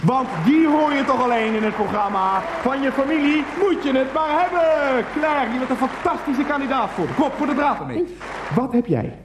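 Game show host, high-pitched, very animated, gushing, goading, repetitive, radio play parody, echoey room. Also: male voice, normal speed, a crowd in the background, noisy background. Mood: coaxing, triumphant. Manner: theatrical, goading, repeats himself.

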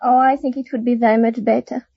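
A woman's voice: on the high side, little pitch movement, quick pace, creaky, husky, no room sound. A woman from France with a French accent, answering briefly and politely as a quiz show contestant. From Brittany, France. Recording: dull old radio broadcast.

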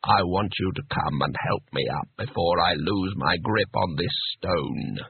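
A man voicing a husky, exasperated cowardly grumbler. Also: low voice, measured pace, dry recording, phone-quality sound, pitched below the performer's own voice, exaggerated voice. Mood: strained patience. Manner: sharp, urgent, grumbling. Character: exasperated, sarcastic, cowardly man in mortal peril.

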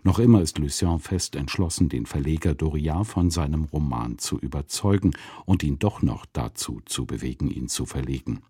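Very deep, husky male narrator. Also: lively intonation, warm tone, no room sound. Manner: measured, literary storytelling. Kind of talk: scripted narration of a radio drama recap.

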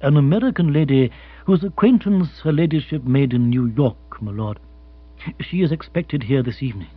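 A man voicing an imperturbable butler in a comic audiobook, formal, measured and deferential. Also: medium pitch, breathy edge, no room sound. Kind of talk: comic audiobook character acting. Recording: muffled recording with hum.

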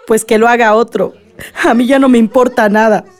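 A woman's voice: on the high side, fairly even intonation, fairly quick, lightly acted. A woman playing a grieving, bitter, defiant mother in a radionovela, emotional and protesting. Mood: resentful grief. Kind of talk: radionovela acting.

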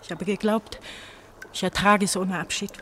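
Radio play role: woman as troubled eighteen-year-old runaway pastor's daughter; halting, brooding, mid-pitched, faint noise behind. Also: fairly even intonation, pushed and bright, lightly acted. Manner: brooding, halting monologue with repeated phrases. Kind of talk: German radio drama acting.

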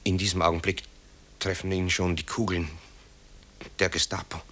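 An actor playing a tormented grown son, a composer haunted by wartime guilt, in a grave, halting radio drama delivery. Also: male voice, low voice, flat delivery, fairly bright tone. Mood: anguished, urgent. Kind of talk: German radio drama acting.

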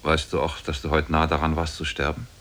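A man's voice: very deep voice, monotone, a little fast, a bit bright. An actor playing a mighty, solemn demon sorcerer in love with his captive, in a 1950s German radio drama. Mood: suspicious, grave. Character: mighty demon sorcerer, lovestruck captor of a woman. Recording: old 1950s radio play on cassette.

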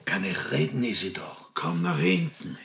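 Radio drama: anxious synagogue servant, Yiddish accent, very raspy, pitch leaping in agitation. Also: male voice, sing-song, normal speed, fairly bright tone, full character voice. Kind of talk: radio play dialogue.

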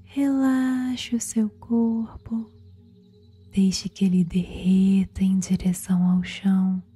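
A woman with a Brazilian accent whispers in a soothing ASMR style as she guides relaxation and tells a bedtime story, with long pauses. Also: medium pitch, flat delivery, warm tone. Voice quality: breathy, whispery. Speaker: guided meditation host and storyteller. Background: background music.

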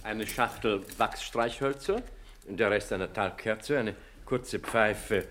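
An actor playing a diligent police inspector in a German radio play, slightly husky, reporting facts with small hesitations and repeated affirmations. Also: male voice, fairly low pitch, takes it slowly, noisy background. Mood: dutiful, businesslike. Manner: hesitant fillers, repeats his affirmations, matter-of-fact.

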